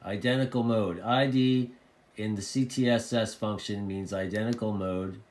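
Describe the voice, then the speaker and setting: A man's voice: fairly low pitch, steady tone, normal speed, a little mellow. A man informally explaining and demonstrating a product, repeating phrases for emphasis. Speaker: presenter of a radio tutorial. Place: indoors at a desk.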